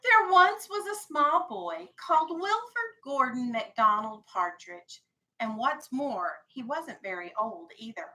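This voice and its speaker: A woman teacher reading a storybook aloud to young children, animated, stopping to explain and ask questions. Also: sits high, a little slow, low-quality recording. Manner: animated, explains and checks understanding. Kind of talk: expressive children's read-aloud with questions to the class.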